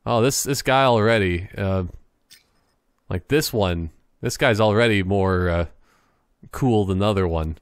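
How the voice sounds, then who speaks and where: Guy with a lowish voice joking and rambling through a video game, dry close sound. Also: dry recording. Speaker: gaming commentator. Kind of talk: casual joking gameplay commentary.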